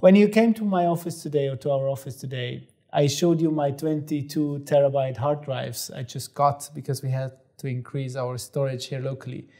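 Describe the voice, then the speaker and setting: A middle-aged man hosting a studio interview, asking his guest questions in a friendly, slightly halting way on a lapel mic. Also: mid-range voice, steady tone, warm tone. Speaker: host. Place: studio set with armchairs.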